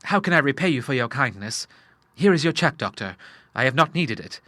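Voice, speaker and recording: A man's voice: mid-range voice, big pitch swings, brisk, sharp tone, dry recording. A man voicing an earnest, honourable grown son in an audiobook, speaking formally and with emphasis. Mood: grateful, sincere. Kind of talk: audiobook character voice.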